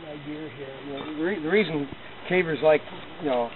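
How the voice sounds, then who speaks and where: A man caver explaining his rope gear, halting and repeating his words. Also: a little high. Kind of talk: casual explaining. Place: outdoors in woods. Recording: tinny lo-fi camcorder audio, loud noise.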